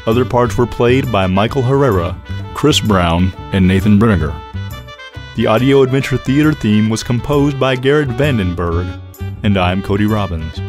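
A man with a somewhat low voice reads the closing credits in a steady, formal way. Music plays clearly behind him. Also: moderate pace. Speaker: announcer. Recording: background music.

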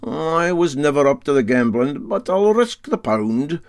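A man's voice: on the high side, very animated, a little slow, voice pushed up. A man voicing a canny, genial and boastful Scottish boat skipper with a sly, sing-song drawl, in a storybook reading. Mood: coy, sly.

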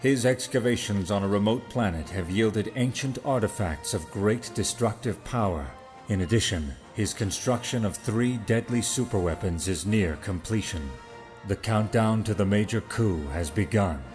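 Low-voiced solemn male narrator. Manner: solemn, measured. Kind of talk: dramatic scripted narration. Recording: music behind the narration.

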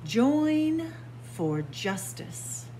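Slow, lively storytime librarian woman. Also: sits high, a little hiss or hum, rough recording. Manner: slow, deliberate word-by-word reading with lively teacherly emphasis. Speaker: children's librarian reading aloud. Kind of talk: picture-book read-aloud for kids. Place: small public library room with bookshelves. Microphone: webcam or phone close up at arm's length.

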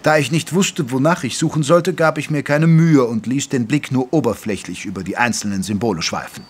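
A male actor plays a detective's companion telling the tale in an audio drama. He is wry and literate in a mid-pitched voice, with faint noise behind him. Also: brisk, lightly acted. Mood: wry, suspenseful narration. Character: detective's gentlemanly doctor companion, narrating with wry wit. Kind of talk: dramatic scripted narration.

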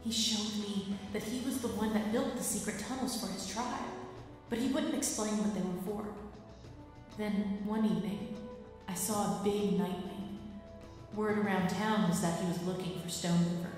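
Woman doing a sad mother dragon in an audio drama, halting and sincere, super echoey with music. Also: medium pitch, steady tone, darkish tone, lightly acted. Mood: wistful. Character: sorrowful mother dragon recalling a lost love. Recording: long echoing reverb over music.

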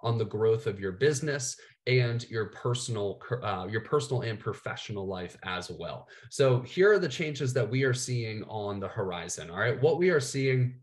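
A man's voice: fairly low pitch, animated, moderate pace, few pauses. A man in his thirties with a cold, presenting slides in a casual way, saying "all right" and "uh" a lot. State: has a cold, slightly nasal, coughing. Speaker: business coach presenting to coaches. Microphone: webcam, screen-share video call.

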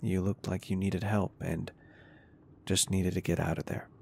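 Man acting a deep, gravelly, caring business owner. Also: level tone, moderate pace, a little hiss or hum. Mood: reassuring, protective. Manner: soft-spoken, reassuring, polite and earnest. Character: caring, flirty, confident male business owner, gently protective. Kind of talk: intimate ASMR roleplay acting.

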